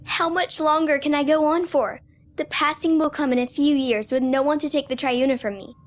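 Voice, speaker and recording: A woman's voice: high voice, fairly even intonation, moderate pace, soft background music, close to an everyday voice. A woman playing a lonely, weary young girl in a video game, speaking in a plaintive, solemn way. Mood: despairing, lonely. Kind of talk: video game character acting.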